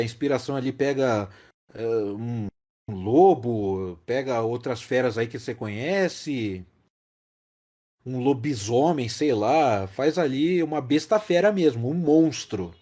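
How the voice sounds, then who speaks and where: A Brazilian man talks through a film as a podcast host. His voice is soft-edged and mellow, with pitch that moves a lot. He explains casually but emphatically, repeating key phrases for weight.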